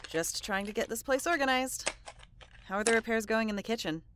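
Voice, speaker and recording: A woman's voice: on the high side, animated, fairly quick, a bit bright, slight background noise. A woman playing a friendly, earnest wife and mother in an amateur radio drama. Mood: mildly exasperated.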